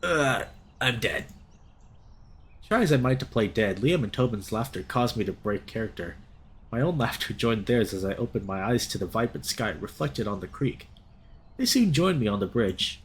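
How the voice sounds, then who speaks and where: A young man reads a visual novel aloud as a let's play host. His delivery is expressive and theatrical, and his pitch moves around a lot as he shifts between narration and his own reactions. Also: normal speed. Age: young adult.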